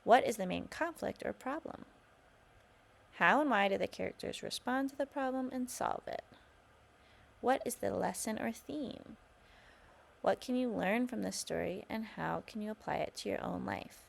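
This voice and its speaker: A woman's voice: mid-range voice, normal speed, vocal fry. A woman reading a children's storybook aloud as scripted narration.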